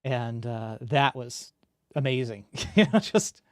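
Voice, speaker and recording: A man's voice: mid-range voice, animated, slow pace, no echo. The male host of a film livecast in a dry, close-sounding setup, chatting casually and keenly impressed by the guest. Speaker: interview host. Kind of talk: casual conversational interviewing.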